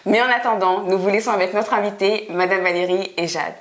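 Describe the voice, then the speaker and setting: A woman's voice: mid-range voice, steady tone, a little fast. A woman presenting a show episode, announcing it in a formal manner. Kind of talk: introducing an episode.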